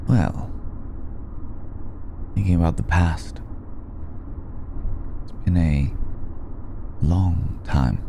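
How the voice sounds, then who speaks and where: A man playing an elegant, centuries-old vampire in an ASMR roleplay, speaking with measured, darkly polite menace and weary hesitation. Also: slight background noise, heavily acted. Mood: pensive, wistful. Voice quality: very deep, gravelly, dark. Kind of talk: slow intimate ASMR roleplay addressed to listener.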